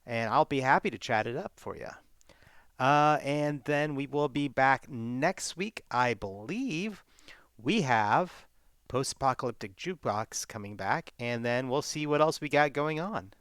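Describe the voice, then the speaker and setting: A man's voice: medium pitch, animated, a little slow, fairly bright tone, clear, resonant tone, no echo, clean studio sound. A man giving casual announcements for a live gaming channel, speaking as one of its hosts.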